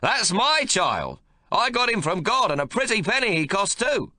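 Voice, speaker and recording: A man's voice: sits high, wildly swooping pitch, moderate pace, sharp tone, no echo, clean studio sound, voice pushed up, exaggerated voice. A man voicing a domineering, crude old grandfather who bellows and bullies. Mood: bullying. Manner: coarse, bellowing, bullying.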